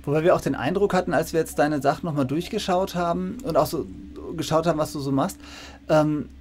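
A man's voice: fairly high pitch, fairly quick, darkish tone, slight background noise. A man in his forties interviewing in a conversational way over a video call, indoors. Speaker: interviewer, co-host, professor. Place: indoor room with bookshelves.

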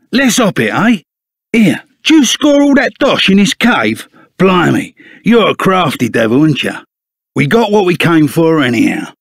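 A man's voice: high voice, no echo, studio quality, exaggerated voice. A man voicing a burly, blustering but loyal bandit sidekick in a video game, with a Cockney accent and blunt, slangy manner. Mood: surprised, gruff. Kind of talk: animated video game character acting.

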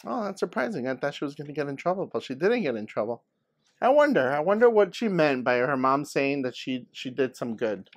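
Man, middle-aged teacher, slightly high voice. Casual read-aloud host who pauses to find his words. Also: very animated. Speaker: art teacher reading a picture book aloud. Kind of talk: read-aloud with informal bilingual commentary. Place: indoor room with poster-covered wall and curtain.

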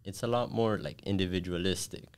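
A young man from Miami with a deep, resonant voice, speaking earnestly and thoughtfully as a podcast guest. He talks close to a desk mic in a small studio, sprinkling his answers with casual fillers. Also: fairly quick. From Miami, USA. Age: young adult. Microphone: desk podcast mic with headphones.

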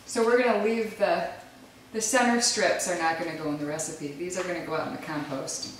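A woman's voice: fairly low pitch, steady tone, fairly quick, slight background noise. A woman in her thirties patiently talking through a casual home cooking demonstration while coaxing small children along. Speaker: mother hosting a home cooking video. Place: large home kitchen, indoors. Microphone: camera across the counter. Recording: echoey kitchen, distant mic, clattering pans. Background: dishes, pans, clicks, small children.

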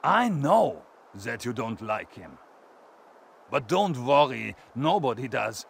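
An actor voicing a burly German lumberjack with a German accent, placating and bargaining in stilted phrases, in a video game. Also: male voice, medium pitch, sing-song, slow pace, vocal fry, breathy edge, heavily acted. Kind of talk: video game cutscene acting.

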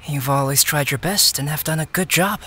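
A man playing a calm, kindly, big-brotherly young character in a video game. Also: a little high, fairly quick, a little hiss or hum. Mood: reassuring. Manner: calm, reassuring.

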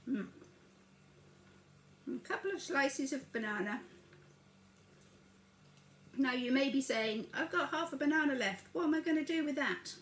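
A woman's voice: high-pitched, unhurried, pauses often, slight background noise. Chatty woman cooking in a kitchen. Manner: chatty, narrates each step while working. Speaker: home cooking vlogger. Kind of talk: casual cooking demonstration. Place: home kitchen, indoors. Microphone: camera across the counter. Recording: echoey kitchen with clattering dishes.

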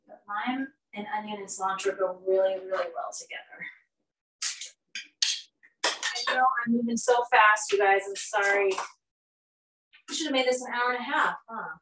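A woman's voice: mid-range voice, moderate pace, long pauses, a bit bright, a little hiss or hum. A middle-aged woman leads a live cooking class in her home kitchen, chatting and hurrying as she cooks. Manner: chatty, upbeat, many fillers while cooking, moves around the stove. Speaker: nutrition educator hosting a live cooking class. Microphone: laptop or fixed camera across the kitchen. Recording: roomy kitchen sound from a distance.

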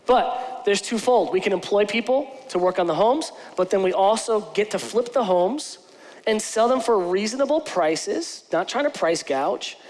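A man's voice: high voice, lively intonation, moderate pace, a little mellow. A man in his forties, a pastor giving a conversational, earnest sermon from a church stage, often restarting his sentences. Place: large church stage with lighting. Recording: church hall reverb.

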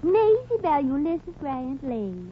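A woman's voice: high voice, big pitch swings, slow pace, full character voice. A woman playing a gushing, pushy Southern belle with a put-on American Southern accent in a 1940s radio comedy. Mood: gushing, sugary. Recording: dull, noisy 1940s radio recording.

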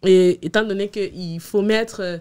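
A woman's voice: mid-range voice, fairly even intonation, measured pace, a little mellow, clear, resonant tone. A woman in her thirties answering interview questions casually, hesitating and repeating words as she explains. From abroad, immigrant to Canada. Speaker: podcast guest. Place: small indoor podcast studio. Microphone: studio microphone on boom arm with headphones.

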